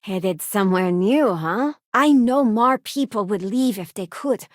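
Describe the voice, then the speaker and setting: An actress voicing a sincere, principled young female space ranger and crew member in a video game, with a measured, plain-spoken delivery. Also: mid-range voice, lively intonation, no room sound, studio quality, natural voice. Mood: earnest, thoughtful. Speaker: voice actress playing a game companion. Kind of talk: scripted video game voice lines.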